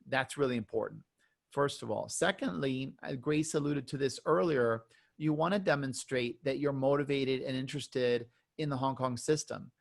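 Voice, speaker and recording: A man's voice: medium pitch, gravelly. A man, a doctor, talking earnestly as a webinar panelist over a video call, often repeating words. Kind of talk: webinar discussion.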